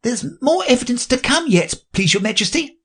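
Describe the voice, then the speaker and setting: Man playing a fussy rabbit herald, prim and respectful, high and quiet. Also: lively intonation, brisk, heavily acted.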